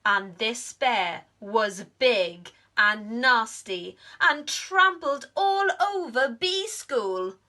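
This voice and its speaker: A woman narrates a storybook for young children in a bright, fairly high voice. She takes her time, reading very slowly and leaning into dramatic emphasis like a teacher in front of a class. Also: lively intonation.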